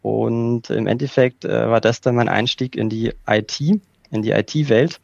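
A man from Berlin, guest on a podcast, explaining his career in a measured way with filler sounds. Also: mid-range voice, steady tone, creaky, darkish tone, no echo. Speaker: guest, organizational developer. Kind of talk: casual interview. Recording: dull lo-fi dry sound.

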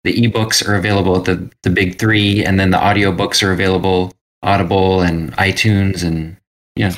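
A man chatting casually as an interview guest, in a halting, hesitant way. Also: sits low, flat delivery, rough recording. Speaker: guest, self-published co-author.

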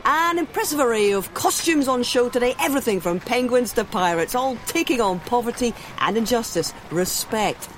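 A woman presenting a scripted comic ad as mock race commentary, with a lively commentator's delivery. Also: fairly high pitch, normal speed, few pauses, a little hiss or hum. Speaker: sports presenter in an ad.

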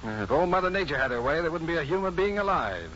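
An actor playing an urbane, self-assured doctor in a 1950s radio drama, articulate, dry and probing. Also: male voice, a little high, very animated, fast, a bit bright. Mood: wry, teasing. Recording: dull, noisy 1950s radio recording.

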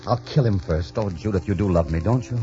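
A man acting as a bold adventurer captain with a low, deep voice. He speaks with theatrical ardour and command, in the manner of 1940s radio drama, on a dull old recording with music underneath. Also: brisk, dark tone, lightly acted. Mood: ardent, romantic. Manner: theatrical, ardent, declamatory.

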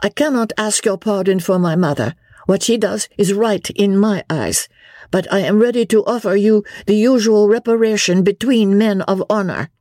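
Narrator doing a high-pitched, earnest young Frenchman. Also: darkish tone, no room sound, clean studio sound, natural voice. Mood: stiff, proud. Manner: formal, halting broken English. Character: slim, hot-headed, earnest young French nobleman.

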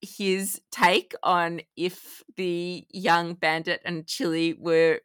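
A woman's voice: on the low side, level tone, takes it slowly, smooth, no room sound, clean studio sound. A woman co-hosting a casual fan podcast, chatting with an enthusiastic manner. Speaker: podcast co-host.

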